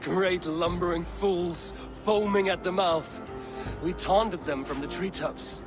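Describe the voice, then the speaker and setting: An actor playing a plainspoken, steady Viking warrior in dramatic game dialogue, speaking in a measured, deliberate way. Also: male voice, high-pitched, over music. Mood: fond, amused.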